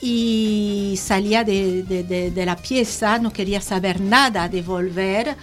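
A woman's voice: mid-range voice, takes it slowly, faint music behind. A woman in her sixties with a French accent, speaking Spanish as an interview guest, hesitant and full of fillers and restarts. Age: 60s. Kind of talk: casual conversational interview.

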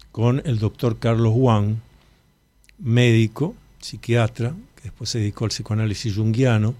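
A man's voice: medium pitch, a little mellow, dry recording. A middle-aged man with an Argentine Spanish accent hosts an interview, commenting conversationally in an explanatory, emphatic way. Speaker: interview host.

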